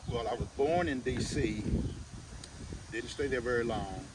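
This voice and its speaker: An older man, recounting his memories in an unhurried, halting, conversational way. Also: medium pitch. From Orange County, Virginia, United States. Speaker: interview guest, reverend. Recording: distant mic, loud outdoor background noise.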